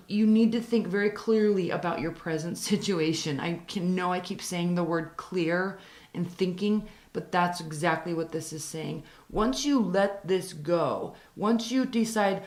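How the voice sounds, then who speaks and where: A woman reads tarot cards in a raspy, worn-out voice that has been hoarse for days. She talks earnestly, repeats key phrases and slows down on the points she wants to stress. The sound has a noticeable room echo. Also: mid-range voice. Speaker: tarot reader. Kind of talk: tarot reading to camera.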